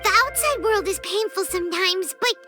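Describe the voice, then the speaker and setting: A woman voicing a tiny, outspoken female companion sprite in a video game, with a squeaky, childlike voice that calls people out bluntly. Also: lively intonation, sharp tone, exaggerated voice. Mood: earnest. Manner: outspoken, quick to call people out. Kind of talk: game voice acting. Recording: game audio with music underneath.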